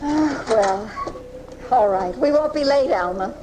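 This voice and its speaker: An actress playing a gentle, worried mother comforting her son in a 1980s radio drama, taped off the air. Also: a little high, lively intonation, slow pace. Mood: hesitant. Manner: soothing, sympathetic. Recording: noisy amateur off-air radio tape.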